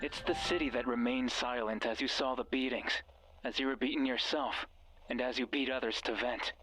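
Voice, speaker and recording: A sinister male radio voice acted by a man in a horror game, speaking at a middle pitch over clearly audible music. He is bitter and commanding, narrating your sins back at you as he manipulates you onward. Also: steady tone, normal speed, fairly bright tone, natural voice, poor audio. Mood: scornful, brooding. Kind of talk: dramatic second-person horror game narration.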